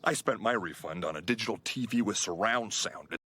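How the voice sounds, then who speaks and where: A man's voice: fairly low pitch, brisk, pushed and bright, breathy edge, no echo, clean studio sound. A man saying a sentence in a plain, straightforward way.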